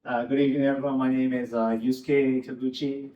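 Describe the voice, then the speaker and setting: A man's voice: medium pitch, little pitch movement, a little fast, mellow, lo-fi sound. Japanese man speaking at a panel in a roomy hall. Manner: friendly, laughs between phrases, many fillers. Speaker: panelist, sales manager. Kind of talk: panel self-introduction. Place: event space with audience. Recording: roomy event space, distant mic.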